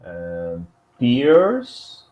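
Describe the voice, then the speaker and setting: A man's voice: mid-range voice, wildly swooping pitch, darkish tone, a little hiss or hum. A man from Brazil with a Brazilian Portuguese accent, a student reading vocabulary aloud hesitantly, with restarts and self-corrections. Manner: hesitant, reads aloud haltingly.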